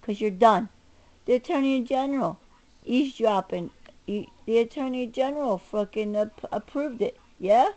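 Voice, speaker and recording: A middle-aged woman with a somewhat high-pitched voice rants angrily to her camera. She is combative and profane, hitting her words hard. Her delivery is personal and unscripted. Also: moderate pace, a little mellow, rough recording. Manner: combative, profane, emphatic, swinging between muttering and raised voice. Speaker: self-recording vlogger. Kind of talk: angry personal rant to camera.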